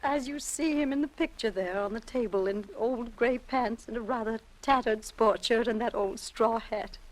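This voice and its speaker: A prim, elderly American wife played by an actress in a 1950s radio mystery. Her voice sits fairly high, and she speaks with formal, earnest piety, as if gently preaching. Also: normal speed, close to an everyday voice, low-quality recording. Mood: sorrowful, prim. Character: fragile, prim, sanctimonious little old churchgoing wife. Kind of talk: 1950s radio drama acting.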